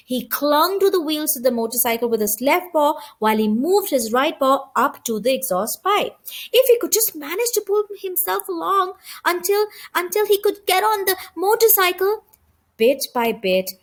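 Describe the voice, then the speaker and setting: A woman reading a children's story aloud with a dramatic, emphatic delivery. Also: sits high.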